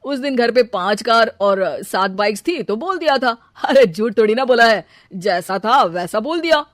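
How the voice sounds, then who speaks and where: A woman's voice: on the high side, expressive, moderate pace, dry recording, clean studio sound, lightly acted. A woman voicing a boastful, fibbing young man in a comic story, making glib, stammering excuses without shame. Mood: glib, self-justifying. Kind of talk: comic Hindi audio story dialogue.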